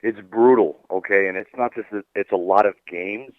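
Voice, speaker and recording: A man's voice: fairly low pitch, moderate pace. An emphatic man giving conversational, opinionated sports analysis as a team commentator in an interview. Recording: narrow phone-like sound.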